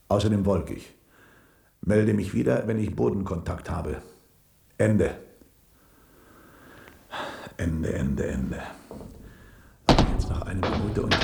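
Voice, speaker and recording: An actor playing a flustered, chatty astronaut in a 1970s German radio play, stammering in astonishment and talking to himself. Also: male voice, sits low, vocal fry, a little mellow, slightly rough, full character voice. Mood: brisk reporting, then musing, puzzled. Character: flustered, chatty male astronaut landed on strange planet.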